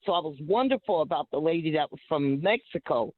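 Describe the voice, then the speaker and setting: A woman calling in to a talk show, loud and chatty as she rambles through her stories. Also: low-pitched, expressive, fairly quick. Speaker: caller. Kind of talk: call-in storytelling. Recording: dry tinny phone line.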